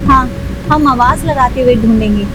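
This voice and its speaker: A woman's voice: sits high, moderate pace, music in the background, voice pushed down, lightly acted, rough recording. A woman voicing a worried, cautious parent in a children's toy cartoon story.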